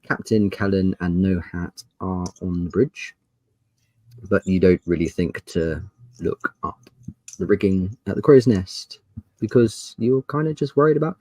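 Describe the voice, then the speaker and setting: Male game master, low voice, dry close sound, casual improvised narration. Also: dark tone, no echo.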